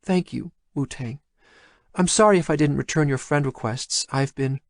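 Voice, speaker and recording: A male narrator voicing a frightened, shy young woman who speaks in a halting, pleading way. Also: on the high side, no room sound, clean studio sound, voice pushed up, lightly acted. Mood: anxious pleading.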